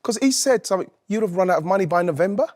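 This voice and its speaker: Higher-voiced man in casual football chat. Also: expressive, rapid-fire, a little mellow, no room sound, studio quality.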